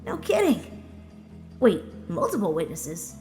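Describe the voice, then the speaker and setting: A woman plays a young female cartoon pony, brash and excitable yet unsure of herself. Her talk is slangy and casual, swinging widely in pitch, with a relaxed, unforced tone. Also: takes it slowly, soft background music, heavily acted. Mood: amazed, amused.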